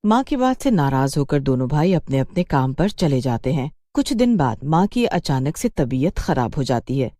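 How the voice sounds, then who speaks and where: A woman narrates a scripted tale as a storyteller. Her voice is low and soft-toned with animated intonation, and the recording is very dry. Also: moderate pace, hardly any pauses, dry recording.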